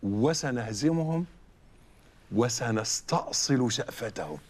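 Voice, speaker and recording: A man in archive footage giving a fiery public speech in fast, forceful declamation. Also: mid-range voice, expressive.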